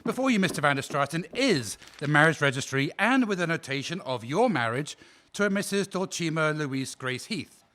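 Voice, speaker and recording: A man's voice: fairly high pitch, expressive, moderate pace, sharp tone, slight background noise. A man playing a formal, probing defense lawyer. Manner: polite, precise, insistent questioning. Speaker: defense counsel in a courtroom drama. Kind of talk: audio drama acting.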